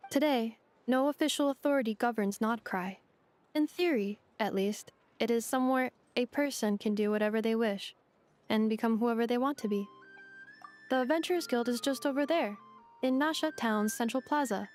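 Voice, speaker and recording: An actress plays a courteous female android guide from a fantasy game. The voice is fairly high, with composed, precise and formal phrasing. Music runs behind it. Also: normal speed, a little mellow, close to an everyday voice. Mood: composed, informative. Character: polite, knowledgeable female robot guide. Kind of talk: video game exposition acting.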